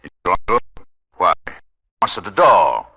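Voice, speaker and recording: A man's voice: low-pitched, sing-song, unhurried, sharp tone, breathy edge, soft background music, heavily acted. An actor playing a cold, domineering husband who taunts his wife imperiously in a 1940s radio drama. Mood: angry, demanding. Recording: old radio broadcast recording.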